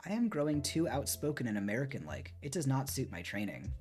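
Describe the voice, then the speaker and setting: A man reading as an earnest, animated young Japanese woman. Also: medium pitch, brisk, soft background music, pitched above the performer's own voice, close to an everyday voice. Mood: excited, earnest. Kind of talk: dramatic storybook read-aloud.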